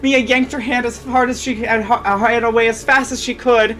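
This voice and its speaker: A woman's voice: a little high, fairly even intonation, brisk, soft background music, low-quality recording. A woman reading fan fiction aloud as a dramatic story narrator.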